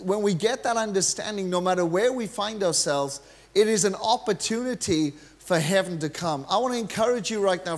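A middle-aged Welsh man preaching a lively, joking sermon from a large church stage into a handheld mic. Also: high-pitched. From Wales. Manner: energetic, joking preacher, gestures freely while pacing the stage. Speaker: guest preacher.

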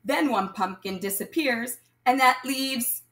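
Slow-paced woman teaching young children. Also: high-pitched, pushed and bright. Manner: instructional, addressing young children, pausing for answers. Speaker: primary school math teacher. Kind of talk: children's read-aloud lesson, counting along.

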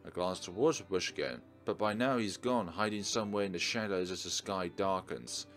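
A man's voice: low voice, a little fast, fairly bright tone. A man hosting a let's play, reading visual novel narration aloud and adding casual commentary. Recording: game music behind the voice. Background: visual novel soundtrack.

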